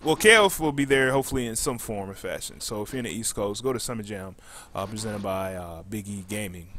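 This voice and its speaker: A man hosting a podcast casually, reading out announcements and often pausing mid-sentence. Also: fairly low pitch, pushed and bright. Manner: halting, frequent pauses mid-sentence. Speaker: podcast host.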